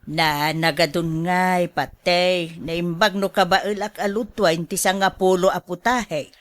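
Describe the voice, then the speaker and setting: A long-suffering housewife acted by a woman in an Ilocano radio drama, plaintive and full of sighs. She has an Ilocano accent and draws her words out slowly, in a very dry, close recording. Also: on the low side, fairly even intonation, no echo, lightly acted. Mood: protesting. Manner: plaintive, sighing. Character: weary, put-upon housewife, long-suffering and complaining.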